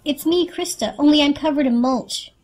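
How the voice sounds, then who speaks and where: A woman's voice: high voice, normal speed, darkish tone, faint music behind, pitched above the performer's own voice, close to an everyday voice. A woman voicing a young, spirited female fairy, teasing and eager, in a storybook reading. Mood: friendly, insistent.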